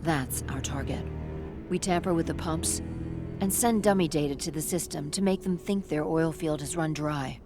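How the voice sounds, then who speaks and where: A woman's voice: low voice, normal speed, over music. A woman playing a confident, capable infiltrator in a video game, assured, reassuring and matter-of-fact. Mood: businesslike. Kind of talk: dramatic video game acting.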